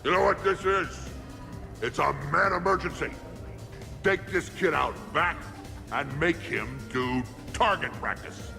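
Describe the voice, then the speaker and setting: An actor playing a blunt, gruff young alien brute in a video game, with a gravelly voice and terse delivery. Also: male voice, on the high side, measured pace, in a large hall, music in the background. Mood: emphatic.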